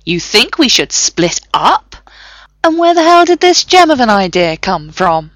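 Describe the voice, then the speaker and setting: A woman voicing a sarcastic male scientist who rants in quick, cutting bursts, pitched somewhat above her own voice. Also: big pitch swings, gravelly, fairly bright tone, dry recording. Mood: angry. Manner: sarcastic, rapid ranting.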